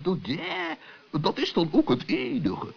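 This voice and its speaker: An actor playing an absent-minded, self-satisfied, stubborn professor in a children's radio play, with a hesitant, pedantic way of talking. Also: male voice, high-pitched, huge pitch swings, measured pace, creaky, breathy edge, heavily acted. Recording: dull, lo-fi old radio recording.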